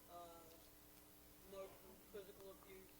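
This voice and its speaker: A woman in her fifties giving a blunt, halting personal testimony from the audience in a church hall. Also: mid-range voice, flat delivery. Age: 50s. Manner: blunt, halting, loud and emphatic. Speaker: audience member sharing her story. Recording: echoey room, loud hum.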